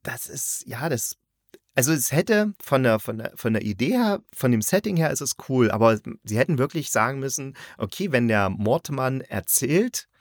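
A man's voice: medium pitch, animated, normal speed, no echo. Guy giving his take on an audio drama, casual, keeps rewording himself, dry close sound. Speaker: audio drama reviewer and host. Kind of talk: casual critical review chat.

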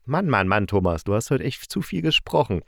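A man's voice: fairly low pitch, sing-song, a little fast, vocal fry, dry recording, clean studio sound. A man giving a casual, colloquial and opinionated spoken review, retelling stories as a host. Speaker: host reviewing audio dramas.

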